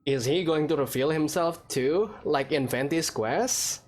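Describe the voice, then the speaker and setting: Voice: medium pitch, unhurried, darkish tone. A gamer giving casual, chatty gameplay commentary, reacting aloud to the game. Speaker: gamer, let's player. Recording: clear game music behind voice.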